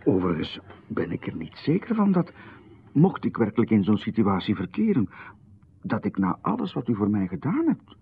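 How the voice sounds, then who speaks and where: An actor playing a polite, nervously apologetic young artist in a radio drama, hesitant and stammering. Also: male voice, on the low side, huge pitch swings, normal speed, gravelly, slightly rough, full character voice. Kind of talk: 1990s radio drama acting. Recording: lo-fi radio broadcast, phone-like band.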